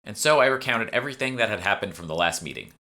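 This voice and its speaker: Deep, bright-voiced male audiobook narrator. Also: lively intonation, fast talker. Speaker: author reading own audiobook. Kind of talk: scripted audiobook narration of fantasy fiction. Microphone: close USB desktop microphone.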